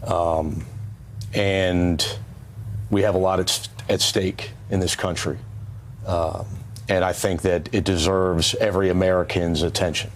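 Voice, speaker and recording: Ex-cop guest, male, very deep, serious, halting answers. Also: fairly even intonation, slight background noise. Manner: halting, serious, many fillers. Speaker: former police officer, interview guest. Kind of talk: broadcast interview.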